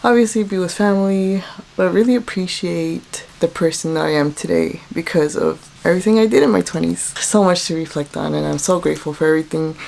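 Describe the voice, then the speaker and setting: A woman's voice: fairly low pitch, a little fast, gravelly, a little mellow. A woman in her late twenties talking casually to camera in a personal vlog, candid and reflective. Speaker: vlogger.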